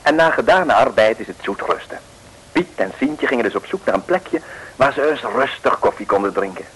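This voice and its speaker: A man's voice: medium pitch, lively intonation, fairly quick, fairly bright tone, a little hiss or hum, low-quality recording. A man narrating an old radio serial in a wry, ornate storytelling manner. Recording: old 1950s radio recording.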